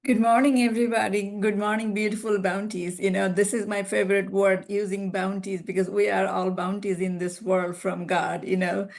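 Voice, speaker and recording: Brief: middle-aged woman, Bangladeshi accent, slightly gravelly, warm and rambling guest physician on a video call. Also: medium pitch, flat delivery, normal speed, hardly any pauses. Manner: warm, rambling, with frequent "you know" and restarts. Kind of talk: conversational talk on a video call.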